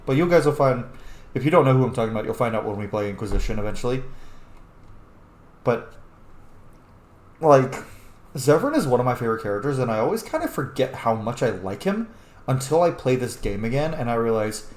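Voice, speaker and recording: A man's voice: medium pitch, sing-song, brisk, long pauses, darkish tone, echoey room. A man hosting a game playthrough, commenting casually in an opinionated, informal way with plenty of swearing. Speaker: gaming video host, player.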